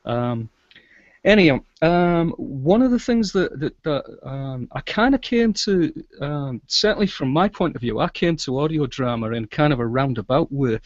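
A man's voice: fairly high pitch, expressive, normal speed, no echo. Middle-aged male interview guest, conversational and chatty, dry but slightly muffled online-call audio. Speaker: guest, audio drama producer and sound engineer. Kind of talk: casual interview talk. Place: small indoor room with shelves, video call.

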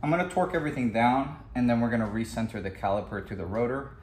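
A man's voice: mid-range voice, quick pace, darkish tone, soft background music. A man giving a plain, step-by-step voice-over as he walks through a scooter repair. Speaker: DIY scooter builder. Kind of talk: tutorial voice-over.

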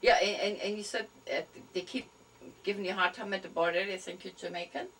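A woman's voice: medium pitch, fairly even intonation, fast talker, a little hiss or hum, poor audio. A woman hosting a casual chat with a friend at home, speaking hesitantly with frequent restarts. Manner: hesitant, restarts, soft backchannels. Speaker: host. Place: living room at home. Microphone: camera across a table.

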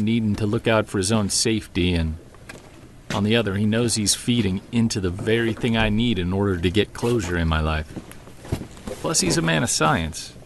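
A stubborn, weary man investigating something, in an audio drama. His voice sits on the low side and he confides his thoughts earnestly. Some ambient noise runs behind him. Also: creaky. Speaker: lead actor and narrator. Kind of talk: naturalistic audio drama acting with first-person narration.

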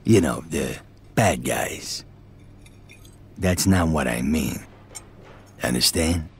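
An actor playing a loud, middle-aged American mobster with an East Coast city accent, husky, chummy but menacing. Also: male voice, a bass voice, slow, heavily acted. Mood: firm, businesslike. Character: loud, profane middle-aged male mobster recruiting killers.

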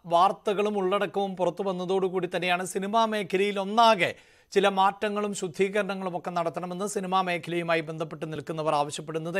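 A man's voice: sits high, fairly bright tone. A middle-aged man with a Kerala accent presenting live television news. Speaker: news anchor. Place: TV news studio. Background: news music bed.

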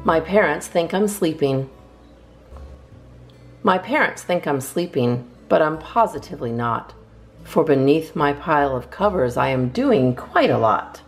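A low-voiced woman reads poems aloud to children with theatrical emphasis. Her intonation swings widely and her voice has a creaky edge. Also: expressive, a little slow, soft background music. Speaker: storytime host reading a picture book.

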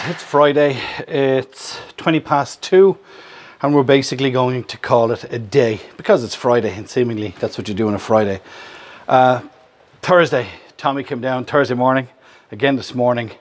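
Chatty Irish man vlogging a van build. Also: medium pitch. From Ireland. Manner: chatty, upbeat, explains as he goes. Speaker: vlogger host. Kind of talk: casual vlog narration. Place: workshop yard and inside a van under build. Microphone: camera held at arm's length.

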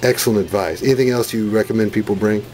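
A man's voice: on the low side, fast, darkish tone. A man chatting casually and jokingly as he interviews people from behind a handheld camera in an office. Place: office with study carrels. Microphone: built-in mic of handheld camera. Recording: noisy handheld camera audio.

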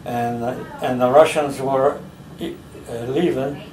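An elderly man born in the United States, slowly recalling his childhood in a home interview, with halting pauses as he searches for details. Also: medium pitch, fairly even intonation, darkish tone, a little hiss or hum. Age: older. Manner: slow, halting storytelling with many pauses. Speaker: interviewee recounting his life. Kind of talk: family oral history interview. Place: living room, seated in a recliner. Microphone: camera mic from across the room. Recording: roomy home video from a distance.

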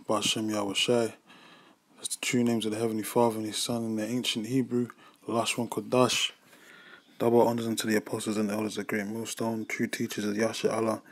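A man, giving an informal religious talk over a screen recording, often adding "man" and "you know" and restarting phrases. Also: lowish voice. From United Kingdom. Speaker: religious group member. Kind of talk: informal preaching upload.

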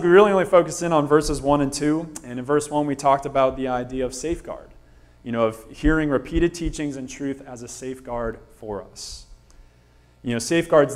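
A pastor in his thirties preaching from the front of a small church sanctuary. He talks at an even pitch and a steady pace, with frequent ums and restarts. His voice is picked up by a mic at the pulpit or by a camera across the room. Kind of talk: sermon.

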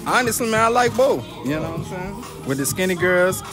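A man's voice: high voice, animated, brisk, over music. Laid-back young man in a shoe store. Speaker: store assistant.

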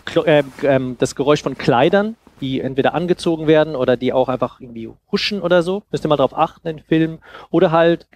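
A man's voice: a little high, fast, a little mellow. A man in his thirties speaking German in an informal, explanatory lecture style, conversational, on a headset mic. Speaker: festival speaker, sound designer. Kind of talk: informal lecture with audience questions. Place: indoor festival stage with couch.

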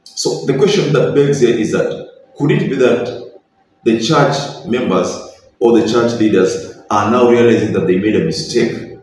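A man's voice: medium pitch, lively intonation, measured pace, a little mellow, a little hiss or hum. Young Kenyan man in an echoey room. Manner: earnest, opinionated, mixes in Swahili phrases. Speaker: political commentator. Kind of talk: direct-to-camera political commentary. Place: small bedroom, indoors. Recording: very echoey room, slightly distant mic.

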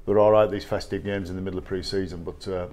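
A middle-aged man with an English accent, a rugby coach answering post-match press questions in a measured, halting way with many fillers. He sits at a table in a small press room. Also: low-pitched, flat delivery, a little mellow. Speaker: rugby league head coach. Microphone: table microphone.

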